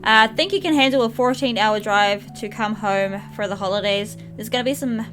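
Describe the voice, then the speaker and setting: A woman's voice: medium pitch, moderate pace, lightly acted. A woman voicing a sentimental, earnest father in video game dialogue read aloud. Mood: fond, joking. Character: sentimental, tender father of a teenage daughter. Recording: music playing behind.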